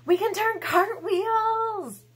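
A woman's voice: very high voice, extremely slow, a bit bright, voice pushed up, full character voice. A woman voicing a bored, dramatic little girl in a picture book, with exaggerated childlike delivery. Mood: eager, defiant. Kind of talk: picture-book read-aloud.

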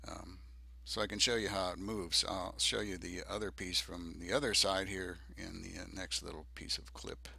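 An older man narrating a DIY project video off the cuff, halting and hesitant, with many ums. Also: sits low, level tone, vocal fry, pushed and bright, husky, no room sound. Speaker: DIY presenter.

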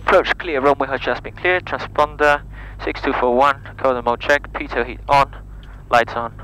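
A man in a light aircraft cockpit, working through checks in a calm, even, methodical manner at a medium pitch. He speaks through a headset intercom. A loud engine drone sits behind his voice. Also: moderate pace, slight background noise, rough recording. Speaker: trainee pilot. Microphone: aviation headset.

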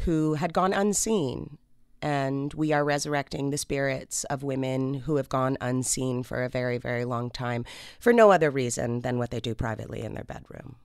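A woman speaks earnestly and in measured statements, as an actor in an interview. Her voice is smooth and resonant, with very little room sound. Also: rumbling low, a little mellow, no echo, clean studio sound. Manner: earnest, declarative. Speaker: stage actor interviewed about a play. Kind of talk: considered interview answer.

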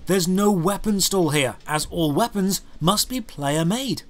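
A man delivering scripted comedic review narration in a sardonic, deadpan manner into a close microphone. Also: high voice, measured pace. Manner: sardonic, dry deadpan humour. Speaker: video game reviewer, host. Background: clear background music.

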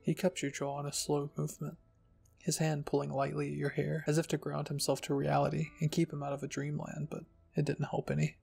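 A man with a slightly high, creaky voice reads a story in a soft ASMR style. His delivery is even and husky, with light music behind him. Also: a little fast. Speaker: narrator and channel host. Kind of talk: ASMR fan fiction reading.

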